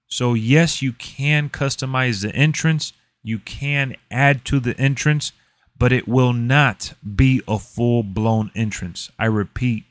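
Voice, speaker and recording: A man's voice: mid-range voice, no room sound. A man in his thirties talks to viewers in a casual, emphatic way, like a host reacting to gaming news. He leans on his points conversationally. The sound is close and dry, with very little room in it. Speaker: gaming and wrestling video host. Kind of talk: casual reaction commentary to camera.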